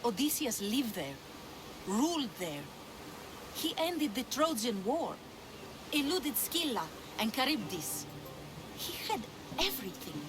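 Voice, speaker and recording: A woman's voice: fairly high pitch, sing-song, slow, long pauses. A woman voicing a proud, spirited young pilgrim in a cinematic video game, self-assured and passionate. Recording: outdoor ambience noise behind voice. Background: ambient outdoor noise.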